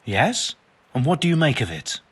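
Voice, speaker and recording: An actor playing a learned, pompous but well-meaning and absent-minded monk physician in a video game, speaking in wordy, formal phrases. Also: male voice, mid-range voice, very animated, normal speed, husky. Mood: bemused, earnest. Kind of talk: video game cutscene acting.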